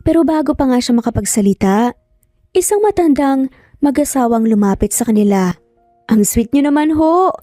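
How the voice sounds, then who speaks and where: A woman storyteller narrates a dramatized romance. Her voice is very dark and soft, slightly high, recorded dry and close. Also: no room sound. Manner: acts out dialogue between narrated lines. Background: background music.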